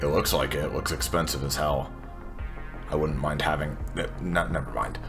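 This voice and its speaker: A man voicing a guarded, hesitant woman who talks haltingly in a tabletop game. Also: rumbling low, steady tone, a bit bright, soft background music. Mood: curious. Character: guarded, hesitant tech-savvy woman seeking help.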